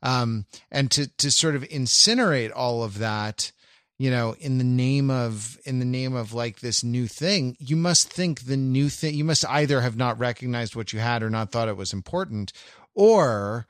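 A man co-hosting a casual pop-culture discussion in a halting, wry way. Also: medium pitch, a bit bright, no echo, clean studio sound. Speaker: podcast co-host.

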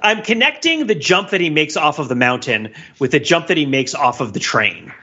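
A man with a bright, forward voice, pitched a little high. He talks as a podcast co-host, riffing playfully on films in casual chat. The audio is slightly dull and lo-fi. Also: very animated, moderate pace, slightly rough. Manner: laughs often while talking, playful riffing. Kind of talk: casual film discussion.

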